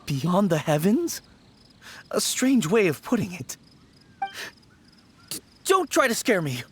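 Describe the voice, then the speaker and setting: A man's voice: sits high, huge pitch swings, takes it slowly, slight background noise, heavily acted. A man voicing a timid, polite young novice undertaker in a video game. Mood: wary. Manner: nervous, formally polite. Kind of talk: video game character acting. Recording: game music behind the voice.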